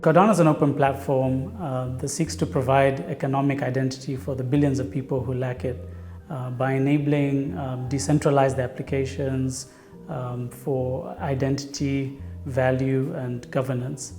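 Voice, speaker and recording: Measured product executive from Zambia, even delivery, soft music underneath. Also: male voice, medium pitch. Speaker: chief product officer. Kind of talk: corporate interview explanation.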